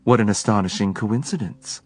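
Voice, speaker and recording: A man voicing a sharp, suspicious young woman who speaks in a cool, probing way. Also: on the low side, normal speed, breathy edge, no echo, pitched above the performer's own voice.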